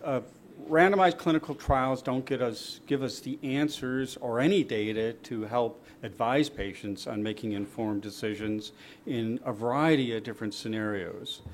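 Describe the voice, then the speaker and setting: A man presenting at a medical panel, talking in a halting way with many fillers and restarts. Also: medium pitch, slight background noise.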